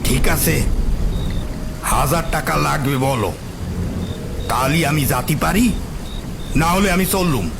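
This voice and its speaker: A man playing a sly, grasping boatman in his sixties, speaking a drawn-out rustic dialect in a horror story. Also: on the high side, gravelly, background noise. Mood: haggling, eerie. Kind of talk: dramatised horror story acting.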